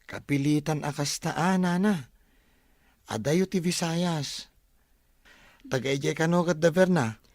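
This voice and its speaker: A gentle, sympathetic man of an Ilocano radio drama. His voice is gravelly and dry, close-sounding, with a reassuring, consoling delivery. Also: on the high side, slow pace, pauses often, no room sound. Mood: concerned.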